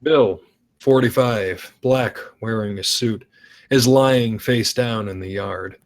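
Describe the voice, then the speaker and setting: A man's voice: lowish voice, slow. A man reading out stage directions as narrator at a script table read.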